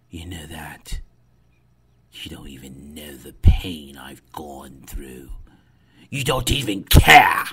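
A man playing an anguished, guilt-ridden grown son in an intense dramatic monologue, his delivery breathy, halting and raw. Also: deep voice, pushed and bright, pitched below the performer's own voice, heavily acted. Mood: bitter, hurt. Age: middle-aged. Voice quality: breathy, breaking into whispers. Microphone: close studio condenser mic.

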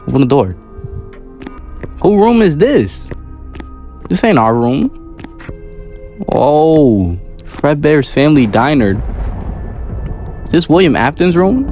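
A young man with a medium-pitched voice plays a horror game and comments as he goes. His talk is casual, slangy and exclamatory, full of joking asides. Music plays behind him. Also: darkish tone. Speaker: gamer making videos.

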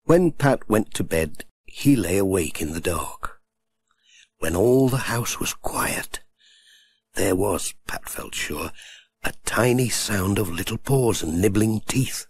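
A man reading a children's storybook aloud with gentle, expressive storytelling. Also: on the low side, slow pace, breathy edge. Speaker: audiobook narrator.

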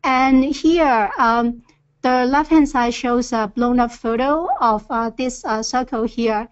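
A woman's voice: on the high side, steady tone, takes it slowly, clean tone. Hesitant woman presenting a webinar. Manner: hesitant, many filler "uh"s. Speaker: earthquake reconnaissance presenter. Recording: dull lo-fi webinar audio.

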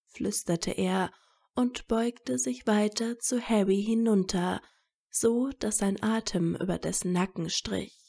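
A woman reading scripted romantic fiction as a narrator in soft, measured storytelling. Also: medium pitch, vocal fry.

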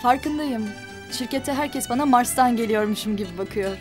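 An actress plays a proud young outsider who is guarded and a little sarcastic. Her voice sits fairly high. Soft music runs underneath. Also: moderate pace, lightly acted. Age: young adult. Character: proud young woman, wary outsider half-sister. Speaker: newly recognized daughter of a rich family. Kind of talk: television drama acting.

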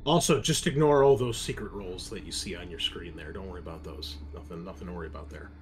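A man narrating casually as game master, improvising in a halting way. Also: sits low.

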